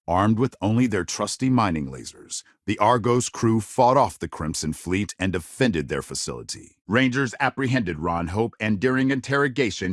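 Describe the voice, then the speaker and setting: An actor playing a serious male news anchor in a sci-fi game, delivering scripted broadcast lines in a measured, emphatic way. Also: mid-range voice, no echo, studio quality.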